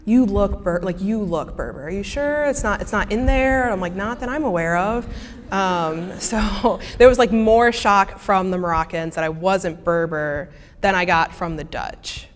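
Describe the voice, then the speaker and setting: A woman's voice: mid-range voice, moderate pace. A young woman with a Midwestern American accent, a student answering audience questions casually with many fillers and restarts. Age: young adult. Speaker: student presenter. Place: lecture hall. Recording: reverberant lecture hall.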